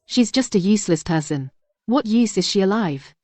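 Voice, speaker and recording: A woman's voice: medium pitch, animated, normal speed, warm tone, dry recording, natural voice. A woman acting dramatically in a radio drama. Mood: spiteful.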